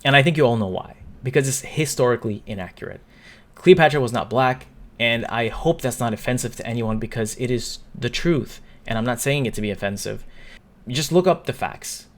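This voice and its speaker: A young man speaks straight to his camera in a mid-pitched, everyday voice. He sounds upset but earnest, and he stumbles and restarts his sentences as he works through his point. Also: brisk. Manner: earnest, stumbling, restarts and trips over words. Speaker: YouTuber. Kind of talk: talking-to-camera opinion vlog.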